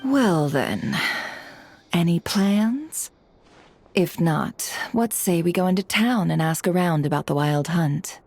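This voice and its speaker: Slow, poised, shrewd woman boss. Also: lowish voice, lively intonation, darkish tone, soft background music. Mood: composed, shrewd. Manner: measured, teasing, formal. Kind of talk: video game voice acting.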